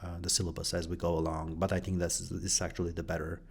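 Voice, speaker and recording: Interview guest: middle-aged man, very deep voice, halting and thoughtful, lots of fillers. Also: flat delivery, gravelly, husky. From Bulgaria. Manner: hesitant, many fillers and restarts. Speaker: guest, journalist and professor. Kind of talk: conversational interview answers.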